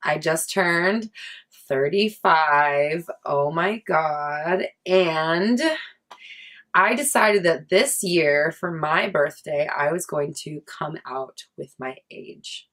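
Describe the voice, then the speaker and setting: A woman's voice: low voice, takes it slowly, fairly bright tone. A woman in her thirties talking candidly in a casual vlog, chatty and swearing freely, with many likes and restarts. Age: 30s. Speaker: vlogger.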